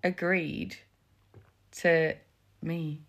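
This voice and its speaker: A woman's voice: low-pitched, flat delivery, extremely slow. A young woman, co-hosting a casual couple's podcast, playful and teasing. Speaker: podcast co-host. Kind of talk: casual chat.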